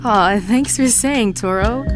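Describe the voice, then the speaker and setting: A woman's voice: medium pitch, slow pace, over music, close to an everyday voice. A woman voicing an encouraging, friendly antlered monster in a horror comedy podcast.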